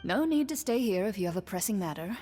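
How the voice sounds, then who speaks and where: A woman's voice: mid-range voice, expressive, quick pace, mellow, faint music behind, natural voice. A woman playing a composed, dryly witty official in a game, speaking politely and wryly. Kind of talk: game voice acting. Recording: long reverb, faint background noise.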